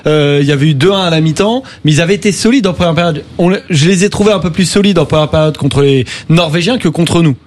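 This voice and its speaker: A man hosting a radio sports debate, chatty and lively, steering the panel. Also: a little high, fast, hardly any pauses. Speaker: radio show host.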